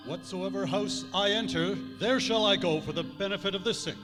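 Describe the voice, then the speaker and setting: Man acting a high-voiced theatrical radio doctor. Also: normal speed, lightly acted. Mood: earnest. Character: earnest doctor in an old-time radio medical spoof. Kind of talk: old-time radio stage acting. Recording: echoey hall, faint music behind.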